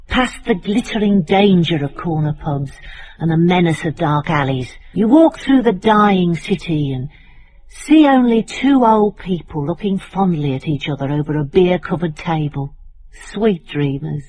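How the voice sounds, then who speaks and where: An actress playing a watchful, brooding narrator, delivering literary drama monologue in incantatory short phrases. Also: female voice, lowish voice, lively intonation, measured pace, darkish tone, slight background noise, poor audio. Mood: bleak, wistful. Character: brooding, watchful female narrator of a bleak city.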